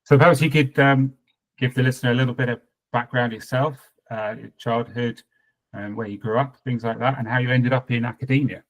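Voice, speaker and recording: A man's voice: mid-range voice, fairly even intonation, brisk, poor audio. A man hosting a podcast, reading a scripted introduction and then asking questions with some hesitation. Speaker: podcast host.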